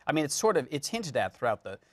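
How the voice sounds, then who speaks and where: A man's voice: fairly high pitch, sing-song, no room sound. Male interviewer, dry close sound. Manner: halting, inquisitive. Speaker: talk show interviewer. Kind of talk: conversational interviewing.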